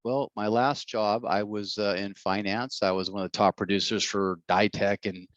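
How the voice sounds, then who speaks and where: A man's voice: lowish voice, fairly even intonation, moderate pace, fairly bright tone, no echo, muffled recording. A middle-aged man, a mayoral candidate answering interview questions in a blunt, rambling way and repeating his words.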